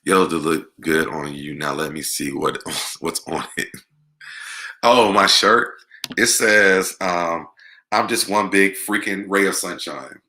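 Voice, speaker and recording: Deep, very forceful American man. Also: a little slow. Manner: conversational, emphatic, repeats words for emphasis. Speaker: online show host. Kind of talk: casual live Q&A chat about music.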